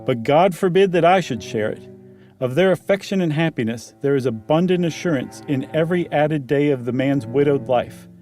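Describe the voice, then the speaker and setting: A man's voice: a little high, expressive, normal speed, a little mellow. A man co-hosting a podcast, reading a horror short story aloud and chatting casually, with music behind him. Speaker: podcast co-host.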